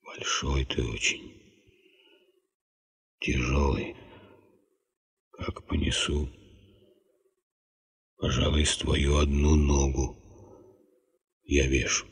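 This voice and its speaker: An actor playing a wise, kindly old tundra herder grandfather in a radio drama, speaking in halting, folksy, philosophical phrases. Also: a bass voice, flat delivery, husky, heavily acted. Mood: grave, appraising. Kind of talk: Soviet radio drama acting. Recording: muffled 1970s radio play recording.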